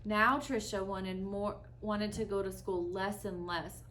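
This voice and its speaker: A woman reads a children's story aloud over faint background noise. Her voice sits at a middle pitch, and she leans on key words with deliberate, dramatic pauses. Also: fairly even intonation, a bit bright.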